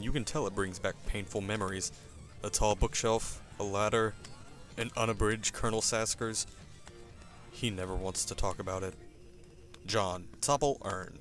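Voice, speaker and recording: A man's voice: fairly low pitch, fairly bright tone. A man reading scripted comic narration in a wry, theatrical manner.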